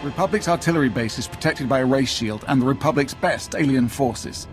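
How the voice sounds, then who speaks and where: Casting: male actor as haughty, impatient military commander, clipped and authoritative, medium pitch, sci-fi game with faint score underneath. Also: a little fast, soft background music, close to an everyday voice. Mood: briskly commanding. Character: brusque, commanding Imperial military officer, proud and impatient. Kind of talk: video game cutscene acting.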